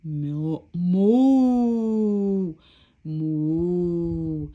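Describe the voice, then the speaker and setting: A woman's voice: lowish voice, drawn-out words, mellow, lo-fi sound. A woman voicing storybook animals, making repeated animal calls in place of words. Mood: mooing. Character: storybook animals making cat, dog and cow noises.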